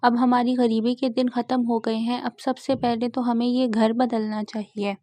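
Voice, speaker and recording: A woman's voice: fairly high pitch, little pitch movement, moderate pace, warm tone, no room sound, close to an everyday voice. A woman voicing a farmer's honest wife in a cartoon story, firm and principled.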